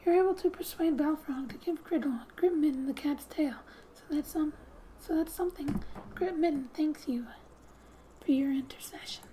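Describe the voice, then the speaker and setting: Soft, high-voiced woman doing ASMR gaming. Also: moderate pace, slight background noise. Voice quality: soft, often dropping to a whisper. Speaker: video game streamer. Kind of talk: soft-spoken ASMR gaming commentary and read-aloud. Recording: quiet background music. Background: soft music.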